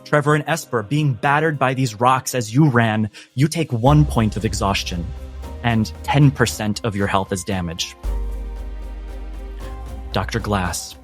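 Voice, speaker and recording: A man narrating a tabletop roleplaying game as game master, with dramatic, deliberate phrasing. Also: medium pitch. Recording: music behind the voice.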